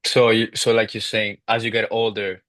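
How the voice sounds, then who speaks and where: A man's voice: fairly low pitch, level tone, normal speed, fairly bright tone, dry recording. Young Italian man asking interview questions. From Italy. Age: young adult. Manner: reads questions carefully, with brief yeahs. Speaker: student interviewer.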